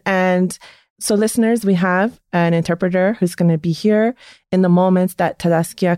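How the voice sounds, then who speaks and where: Effusive woman host interviewing an artist, medium pitch, dry recording. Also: dark tone, dry recording. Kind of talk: podcast interview.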